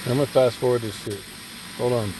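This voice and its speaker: A man's voice: mid-range voice, steady tone, a little fast, darkish tone, a little hiss or hum, poor audio. A man giving a blunt, profane religious commentary in a casual ranting style, swearing heavily.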